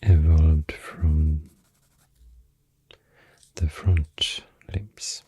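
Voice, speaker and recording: A woman with a Swedish accent speaks softly in a very low, husky, whispery voice for ASMR. She rambles extremely slowly with halting pauses, hushed and close to the microphone. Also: fairly even intonation, dry recording. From Sweden. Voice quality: deep, breathy, often whispery. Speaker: ASMR host. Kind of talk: soft-spoken ASMR rambling. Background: soft pencil and clicking sounds.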